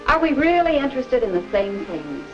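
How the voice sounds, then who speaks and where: An actress playing a gentle, wise middle-aged American mother giving measured, instructive advice in a 1950 educational film. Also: high-pitched, very animated. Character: gentle, wise mother advising her daughter. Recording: dull vintage film sound, music behind.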